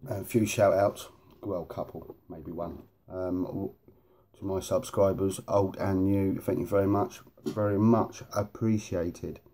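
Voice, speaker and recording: A man's voice: low voice, steady tone, measured pace, warm tone. A man hosting a casual, rambling hobby vlog in informal, matey slang, with a sniffly, blocked nose. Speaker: host of a hobby video series.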